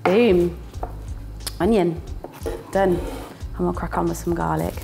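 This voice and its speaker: A woman's voice: fairly low pitch, fairly even intonation, slow, gravelly, noisy background. Chatty young woman cooking in a kitchen. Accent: northern English. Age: young adult. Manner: chatty, playful, laughs often, sound words. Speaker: student cooking presenter. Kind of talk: casual cooking demonstration. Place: small indoor kitchen.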